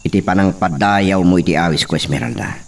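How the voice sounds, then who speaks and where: A man with an Ilocano accent playing a smooth young gentleman suitor in a radio drama, recorded from a distance. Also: deep voice, animated, fairly quick, full character voice. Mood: courting, reassuring. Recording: distant mic, faint noise.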